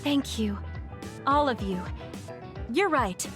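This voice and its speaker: A gentle, earnest young woman in an anime-style game. Her voice is fairly high and slow-paced, sincere in manner, with faint music behind. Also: expressive. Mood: grateful.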